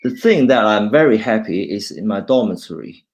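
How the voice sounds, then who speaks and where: Low-voiced Hong Kong man, halting. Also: expressive, a little mellow, dull audio. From China, raised in Hong Kong. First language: Cantonese. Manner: halting, with many restarts, switching between English and Cantonese. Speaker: university lecturer, guest speaker. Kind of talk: informal talk presenting slides.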